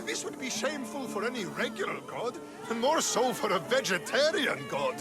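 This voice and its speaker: A high, excitable cult follower. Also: male voice, normal speed. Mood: eager. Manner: eager, pleading. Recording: game cutscene with loud music.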